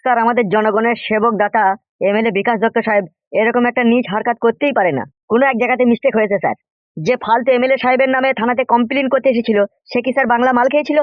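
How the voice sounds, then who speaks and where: Man acting a high-pitched, rapid-fire cartoon henchman. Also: fairly even intonation, no room sound, muffled recording. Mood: earnest, fawning. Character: crude, eager henchman loyal to his crooked boss. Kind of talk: animated comedy cartoon dialogue.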